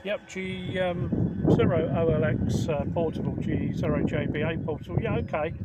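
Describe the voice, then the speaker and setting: A British man speaking as an amateur radio operator at an outdoor portable station, in a measured, halting way. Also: a little high, fairly even intonation, over loud music. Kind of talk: casual two-way radio contact. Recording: very noisy outdoor recording.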